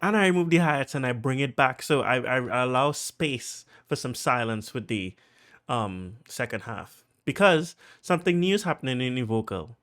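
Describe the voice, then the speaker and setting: A man with a Trinidadian accent casually talking through how he produced a track, chatty and full of fillers, getting excited as he goes. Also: mid-range voice, moderate pace. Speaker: music producer.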